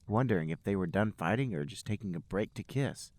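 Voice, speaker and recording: A man's voice: on the low side, animated, a little fast, darkish tone, no echo. A man, a podcast host, reading fan fiction aloud as a storybook-like narration.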